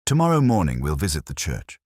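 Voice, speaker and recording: A man voicing a patient, dryly witty police inspector in a mystery story, understated and deliberate. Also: very deep voice, wildly swooping pitch, a little mellow, dry recording, clean studio sound, full character voice. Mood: grave, musing.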